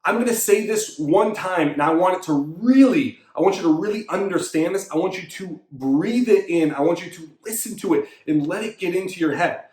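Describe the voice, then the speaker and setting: High-voiced man giving a fitness pep talk. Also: expressive, moderate pace, hardly any pauses, a little mellow, roomy sound. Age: 30s. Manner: emphatic, repetitive coaching pep talk. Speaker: fitness coach and show host. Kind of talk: motivational monologue.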